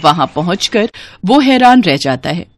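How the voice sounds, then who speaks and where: A woman's voice: on the low side, big pitch swings, normal speed. A woman narrator reading a scripted moral bedtime story for children.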